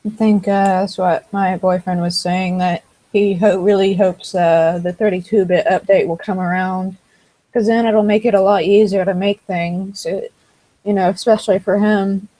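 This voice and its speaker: Woman, mellow voice, hesitant, halting casual chat, computer mic. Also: medium pitch, steady tone, rough recording. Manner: hesitant, many fillers and restarts. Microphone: computer microphone on a voice chat.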